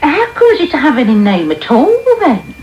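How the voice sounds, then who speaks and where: A prim and sanctimonious matron in a period radio play. Her voice is high and swoops extravagantly, affected and condescending, through a slightly noisy off-air broadcast recording. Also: huge pitch swings, normal speed, darkish tone, lo-fi sound. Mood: gushing, admiring. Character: prim, sanctimonious, flattering matron of parish charity. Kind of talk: period radio drama acting.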